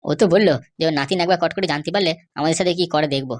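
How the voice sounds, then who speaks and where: A woman's voice: low-pitched, very fast, natural voice. A woman voicing a sly, insistent male schemer and constable in an amateur comedy cartoon. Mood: scheming, gossipy.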